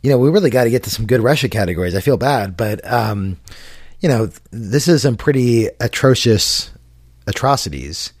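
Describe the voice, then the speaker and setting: A man's voice: medium pitch, moderate pace, dry recording. Dry, wry man on a podcast. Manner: dry, wry humor, relaxed hedging. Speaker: podcast co-host. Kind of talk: casual podcast chat.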